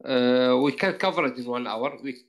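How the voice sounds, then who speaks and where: A man whose first language is Arabic, talking casually on a live stream and often stopping to find his words. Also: medium pitch. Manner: halting, repeats words while searching for phrasing. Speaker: guest player.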